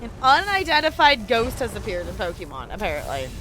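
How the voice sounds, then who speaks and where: A woman's voice: mid-range voice, big pitch swings, fairly quick, bright, forceful tone, poor audio. A woman chatting casually and animatedly as she streams a video game live and talks to her viewers. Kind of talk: casual live stream chat with gameplay commentary.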